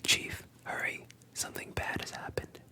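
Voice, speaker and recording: A whispering narrator voicing a gentle young woman who runs a bar, speaking in a soft hush. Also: normal speed, natural voice. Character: kind young woman barkeeper.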